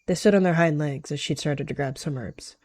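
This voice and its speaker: A woman's voice: sits low, brisk, a little mellow, no echo. A woman narrating and voicing an animal roleplay in the third person. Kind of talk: narrated text roleplay, read aloud.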